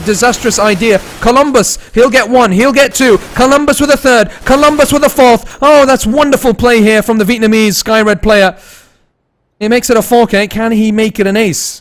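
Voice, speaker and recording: A young man casting an esports match, laid-back and tired between plays, rising to fast, excited calls when the action heats up. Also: sits high. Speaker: esports caster. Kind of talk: live esports commentary mixed with chatting to viewers.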